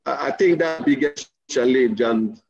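A man's voice: medium pitch, gravelly, a little mellow. Earnest man on a dull video-call line. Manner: thoughtful, earnest, slow with pauses. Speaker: panelist. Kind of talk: reflective discussion.

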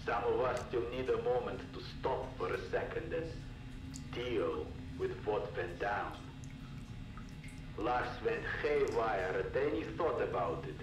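A man's voice: on the low side, expressive, a little slow. An actor playing a disillusioned, distrustful male scientist who longs for peace, earnest and brooding, in a video game audio log. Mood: bitter, weary. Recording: roomy, distant, noisy cassette tape recording.

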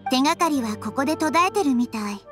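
A woman playing a gentle, wise child-like nature deity in an anime game, speaking softly and thoughtfully. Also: high voice, expressive, fast talker. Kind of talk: Japanese anime game voice acting. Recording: background music under the voice.

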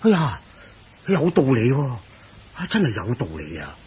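A fat, hearty king who lives to indulge. He speaks with a Hong Kong Cantonese accent and swoops about expressively in radio-drama style, over clear background noise. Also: medium pitch, wildly swooping pitch, normal speed, mellow. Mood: pleased, resolved. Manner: exclaiming, chuckling, expansive.

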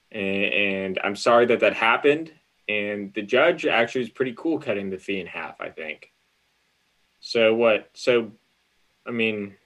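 A man's voice: on the low side, fairly bright tone, low-quality recording. A man in his thirties with an American accent, a lawyer thinking aloud as he chats casually and gives hedged advice. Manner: halting, hedging, thinking aloud. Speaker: lawyer answering call-in questions. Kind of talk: casual legal advice chat on a livestream. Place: indoors in front of a green screen, on a video call.

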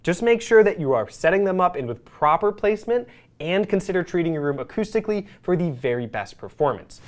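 Upbeat man reviewing speakers on camera. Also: high voice. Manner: upbeat, polished presenter delivery. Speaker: product reviewer. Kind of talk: scripted product review to camera. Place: studio with speakers behind.

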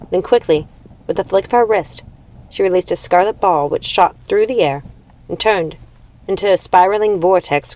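A woman's voice: low voice, a little mellow, slight background noise, poor audio. A woman reading a storybook aloud as an audiobook reader, in dramatic scripted narration.

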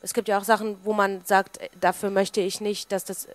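A woman's voice: medium pitch, level tone, moderate pace, no room sound. A woman audience member asking questions in a casual, conversational way.

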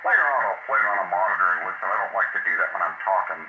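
A man's voice: low voice, animated, racing, narrow, tinny audio. A man chatting casually as an amateur operator, heard through a shortwave radio. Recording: noisy, roomy shortwave radio playback.

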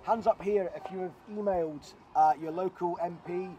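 A man's voice: high-pitched, fairly even intonation, moderate pace, mellow, muffled recording. A middle-aged man organising a protest, urging people through a megaphone with a practical, pushy manner. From Scotland. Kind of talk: street protest speech. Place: outdoors by a hotel car park. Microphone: handheld megaphone. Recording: outdoor megaphone with crowd noise.

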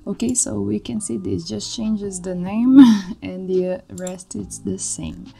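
A young woman from Brazil with a Brazilian Portuguese accent, a native Portuguese speaker, walking through her code on a screen share as a tutor in a relaxed, halting way with many fillers. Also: mid-range voice, gravelly, faint music behind. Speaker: coding tutor. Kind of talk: screen-share coding walkthrough. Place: indoor room.

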